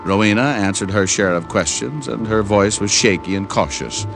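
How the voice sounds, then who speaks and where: A man's voice: fairly low pitch, normal speed, vocal fry. An American man playing a wry, streetwise private detective in old-time radio style, narrating in clipped slang. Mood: matter-of-fact narrating. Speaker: lead actor and narrator of a detective series. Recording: vintage radio recording with music.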